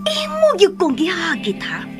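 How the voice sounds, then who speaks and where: A woman acting in a Cebuano radio drama from the Philippines as a jealous, scornful schemer, husky, emotional and pushy. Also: extremely high pitch, huge pitch swings, a little slow, music in the background, exaggerated voice. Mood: jealous, threatening. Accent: Filipino, Cebuano.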